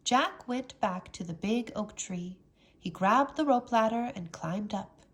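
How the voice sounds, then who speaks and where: A woman reading a children's storybook aloud as a teacher, with expressive, dramatic narration. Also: medium pitch, unhurried.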